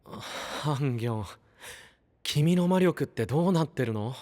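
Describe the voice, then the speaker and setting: An actor playing a kind, easygoing young knight in an anime voice drama, talking in soft, casual, friendly phrases. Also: male voice, on the high side, expressive, a little slow, no room sound. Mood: questioning. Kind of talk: Japanese anime voice drama acting. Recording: clear music under the voice.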